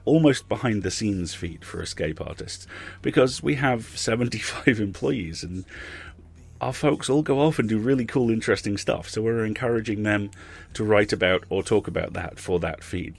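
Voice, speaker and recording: Guy hosting a podcast, creaky raspy voice, talking straight to the listeners. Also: low voice. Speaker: podcast host. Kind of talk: podcast outro with donation appeal.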